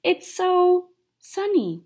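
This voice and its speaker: A young woman voicing a big, goofy, happy male dog in a storybook, slow and simple-minded. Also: extremely high pitch, big pitch swings, a little mellow, voice pushed up, heavily acted. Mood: dopey delight.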